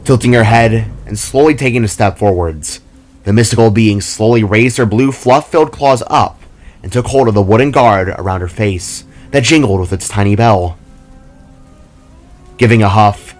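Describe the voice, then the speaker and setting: A man reading scripted fantasy narration in a measured, dramatic storytelling manner. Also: on the low side.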